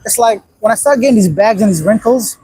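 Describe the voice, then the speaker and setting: A man's voice: sits high, lively intonation, quick pace, mellow, husky, no room sound, low-quality recording. A man in his late thirties from Afghanistan, rambling bluntly on a casual livestream and reacting to viewer comments. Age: 30s. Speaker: livestream host. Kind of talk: casual live-stream chat reading viewer comments.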